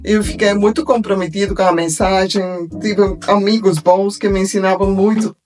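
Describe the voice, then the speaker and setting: An older woman from Belgium speaking with a foreign accent as an interview guest, telling her story haltingly in a learned language. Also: medium pitch, steady tone, a little mellow, soft background music. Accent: foreign accent in Portuguese, from Belgium. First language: a language of Belgium, not Portuguese. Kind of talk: personal storytelling in a podcast interview.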